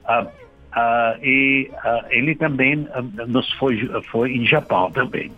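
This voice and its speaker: A man who is not a native speaker, a guest musician in a radio interview, talking haltingly and searching for words. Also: mid-range voice, soft background music. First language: not Portuguese. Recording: dull radio broadcast sound.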